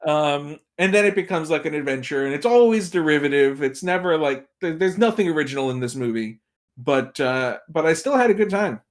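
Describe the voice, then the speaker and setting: A man with a somewhat high voice chats as a guest film critic on a podcast. He talks casually and tells things like a storyteller, slipping into little imagined reactions to make his point. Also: animated, fairly quick. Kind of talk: casual chat, giving film opinions.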